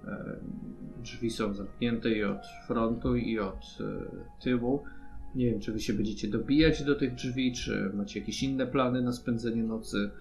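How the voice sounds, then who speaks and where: Male game master over soft music. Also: medium pitch, fairly even intonation, unhurried, gravelly, highs cut off. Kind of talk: improvised tabletop game narration. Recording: music behind the voice. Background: instrumental music.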